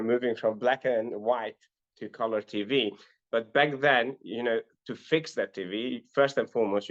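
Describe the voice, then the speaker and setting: A middle-aged man from North Macedonia, a life and business coach, earnestly telling his life story as an interview guest, often pausing on "uh". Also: mid-range voice, highs cut off. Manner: earnest, with frequent "uh" fillers.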